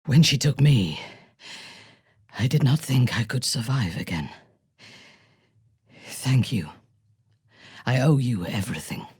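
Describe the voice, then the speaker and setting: A haughty, dangerous warrior woman from a fantasy video game. Her voice is husky and breathy, recorded very dry and close. She speaks with imperious disdain and wide, expressive swings of pitch, every formal phrase carrying cold menace. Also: slow pace, long pauses, dry recording, exaggerated voice. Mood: intimate, hushed. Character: haughty, menacing female warrior and former cultist, cold and commanding. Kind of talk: video game character voice lines.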